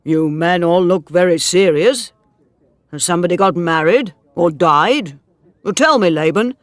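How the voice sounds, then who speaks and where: A man voicing a middle-aged farmer, lovesick and insistent, who talks in slow, earnest pleas. The voice sits fairly high for a man and comes slowly. The recording is dry and close. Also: a bit bright, no room sound. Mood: commanding. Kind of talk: audiobook dialogue reading.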